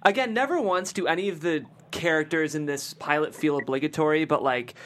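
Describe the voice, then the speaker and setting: A man's voice: fairly high pitch, brisk, fairly bright tone. A man in a casual panel discussion, offering encouraging, tentative feedback on a script. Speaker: panelist giving script notes.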